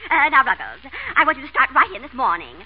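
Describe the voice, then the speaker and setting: An actress playing a fussy, bossy, affectedly refined American small-town wife in a radio comedy. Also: female voice, high voice, wildly swooping pitch, fast. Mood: bossy, prim. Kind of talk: 1930s-40s radio comedy acting. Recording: dull, noisy vintage radio broadcast.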